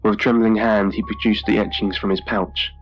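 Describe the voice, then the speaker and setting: A man reading a scripted horror story in a measured, dramatic storytelling manner. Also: fairly low pitch, little pitch movement, muffled recording. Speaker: story narrator. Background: music.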